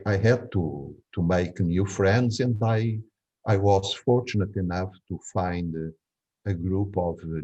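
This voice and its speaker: A man in his sixties from Angola, a native Portuguese speaker with a Portuguese accent, recounts his life conversationally in a hesitant, measured way, searching for words. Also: low voice, mellow, highs cut off. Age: 60s. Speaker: interviewee. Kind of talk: reflective conversational storytelling.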